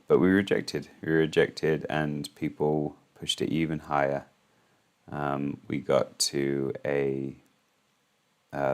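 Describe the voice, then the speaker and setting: A man with an extremely low, hoarse voice talks through the morning's market moves. He speaks in a casual, halting way, full of ums and restarts, like a host thinking aloud on his own channel.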